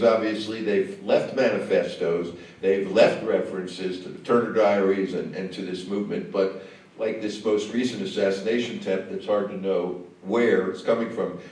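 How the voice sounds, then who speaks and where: A man, a film director, answering questions on stage after a screening, talking in a halting way with many fillers and restarts. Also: lowish voice, darkish tone. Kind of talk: on-stage conversation. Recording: echoey hall, mic far off.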